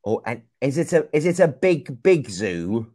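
A young man from England, teaching a young child over a video call in slow, clear, encouraging speech full of exclamations. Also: mid-range voice. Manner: slow, clear and lively, often exclaims and laughs. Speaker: online English teacher. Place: small room indoors. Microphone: video call with wired earphone mic.

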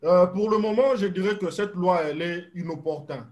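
A young man, a guest activist, arguing his point in a political debate over a video call, slow and insistent. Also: high-pitched, darkish tone, lo-fi sound.

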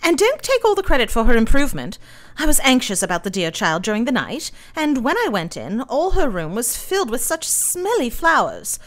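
Woman doing a posh fussy mom in a radio play, gushing, highish and super animated. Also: brisk. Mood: fussy, self-satisfied. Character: genteel, chatty, oblivious mother of a patient. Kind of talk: radio drama acting.

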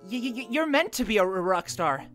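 A woman voicing a gentle, devoted, nerdy young man with a heavy stutter in a comic dub. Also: medium pitch, big pitch swings, fairly quick, soft background music. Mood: cheerful, affectionate. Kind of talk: amateur comic dub acting.

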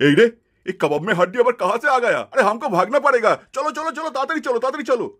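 A man acts out a scheming, lecherous male snack seller for a puppet cartoon. The voice is very high for a man, sharp and quick, with a pushy, wheedling way of talking. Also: very animated, full character voice. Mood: urgent, defiant. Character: sly, lecherous male snack-seller villain kidnapping a woman.

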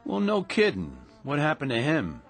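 An actor playing a calm, sly stranger in a radio drama with music underneath. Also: male voice, on the high side, normal speed, slightly rough, full character voice. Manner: sly, dry, teasing. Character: calm mysterious man, sly and confident.

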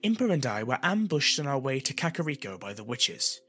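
A man voicing a calm, stoic female warrior, speaking evenly and quietly with grave compassion in a fantasy story, over music. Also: mid-range voice, breathy edge, pitched above the performer's own voice, heavily acted. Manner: even, quiet, measured.

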